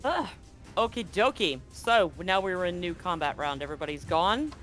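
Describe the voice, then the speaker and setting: Male game master, fairly high voice, narrates scenes dramatically and handles rules casually. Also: animated, moderate pace, music in the background. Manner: dramatic scene-setting mixed with casual rulings. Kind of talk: narrating a tabletop roleplaying game. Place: live online stream.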